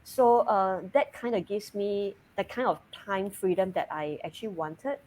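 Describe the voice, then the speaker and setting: A woman's voice: mid-range voice, a little slow, poor audio. Singaporean woman, laughing lightly as she talks. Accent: Singaporean English. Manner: laughs lightly mid-sentence, relaxed and open. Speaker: guest. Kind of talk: casual interview chat.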